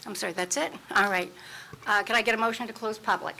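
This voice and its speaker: A woman's voice: mid-range voice, fairly even intonation, fast, gravelly, sharp tone, a little hiss or hum, low-quality recording. Polite woman chairing a meeting. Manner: polite, procedural.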